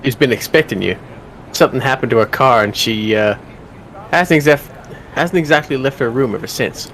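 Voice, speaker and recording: A man playing an awkward cafe waiter in an amateur visual novel dub, speaking casually and haltingly. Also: mid-range voice, a bit bright, slight background noise, natural voice. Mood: awkward, hesitant.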